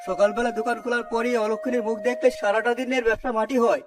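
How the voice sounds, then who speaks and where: Man as a high-pitched, haughty, scolding shopkeeper. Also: steady tone, fast talker. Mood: angry, scolding. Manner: scolding, contemptuous, domineering. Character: harsh, haughty male sweet-shop owner obsessed with cleanliness. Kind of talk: cartoon story drama. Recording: clear background music throughout.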